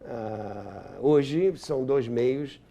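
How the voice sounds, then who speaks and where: A man's voice: mid-range voice, drawn-out words, gravelly, mellow, muffled recording. An older Brazilian man telling anecdotes as an interview guest, emphatic and repeating words as he searches for the next one. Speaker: interview guest, radio historian and author.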